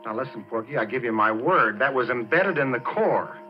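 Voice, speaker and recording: A man playing an excitable, insistent oil-rig worker in urgent, emphatic 1940s radio drama style. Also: mid-range voice, fairly quick, soft background music, lightly acted. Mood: insistent, amazed. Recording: dull, noisy 1940s radio recording.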